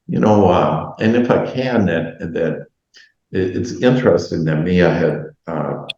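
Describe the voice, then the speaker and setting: Host guy, very low voice, rambles with lots of ums, echoey dull-sounding room. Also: darkish tone. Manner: rambling, halting, heavy fillers. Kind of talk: casual video chat.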